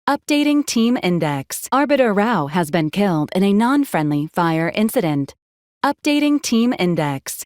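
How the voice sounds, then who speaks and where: A woman playing a dutiful, literal-minded robot ship's assistant in a video game, speaking in a formal, clipped, deadpan way. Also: mid-range voice, no room sound, clean studio sound. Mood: flatly procedural. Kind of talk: scripted video game voice acting.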